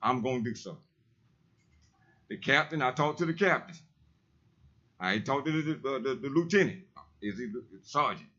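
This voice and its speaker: A man with a heavy, loud voice, speaking emphatically and repeating himself in a preacherly way during public comment at a council meeting. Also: mid-range voice, animated, moderate pace, long pauses, dull audio. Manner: emphatic, repetitive, preacherly insistence.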